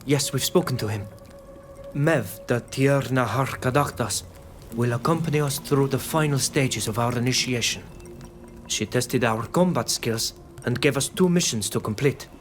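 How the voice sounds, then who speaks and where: An actor playing a polite, earnest young initiate in a fantasy video game. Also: male voice, mid-range voice, moderate pace, lightly acted. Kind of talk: scripted video game dialogue. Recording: faint music behind.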